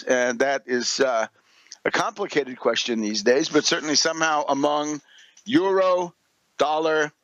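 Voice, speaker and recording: Higher-voiced older American man, lecturing. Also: a bit bright, no echo, poor audio. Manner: emphatic, didactic, often tags points with "right?". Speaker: political commentator and radio host. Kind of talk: unscripted political radio commentary, lecturing through numbered points.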